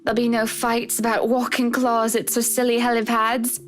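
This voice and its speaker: Woman voicing a high-pitched, wistful young wife. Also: little pitch movement, normal speed, faint music behind, natural voice. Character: soft-spoken, wistful married woman, polite and resigned. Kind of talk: acted reading of visual novel dialogue.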